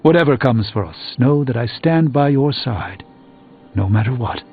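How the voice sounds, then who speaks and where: Casting: male actor for grave wizard mentor in a game, mid-pitched, formal and philosophical, heard through a narrow tinny band. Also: expressive, normal speed, close to an everyday voice. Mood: earnest counsel. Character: grave, learned, reassuring adult male sorcerer. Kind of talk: scripted video game character dialogue. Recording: tinny band-limited audio with music.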